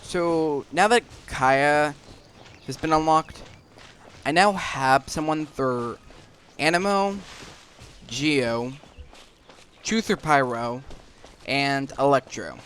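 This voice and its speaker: A man's voice: on the high side, slow pace, pauses often, a bit bright, a little hiss or hum. A man giving casual, rambling gameplay commentary with a hesitant delivery. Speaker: gaming video narrator. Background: game music.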